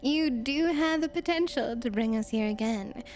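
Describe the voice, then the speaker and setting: Cooing, high-voiced playful demoness played by a woman. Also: moderate pace, darkish tone, faint music behind, natural voice. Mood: coy, flirty. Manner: cooing, teasing, mock-sweet delivery. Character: playful, doting, mischievous female demon. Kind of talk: flirty ASMR roleplay acting.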